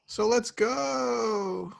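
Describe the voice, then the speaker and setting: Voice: mid-range voice, extremely slow, vocal fry, heavily acted. An actor as an awkward, wry young slacker. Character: awkward, sardonic young slacker hero, male. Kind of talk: amateur screenplay table read.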